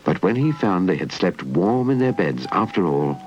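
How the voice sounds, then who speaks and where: Children's narrator: British male, deep register, expressive storytelling, over loud music on muffled tape. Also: normal speed. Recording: dull old VHS tape, loud music.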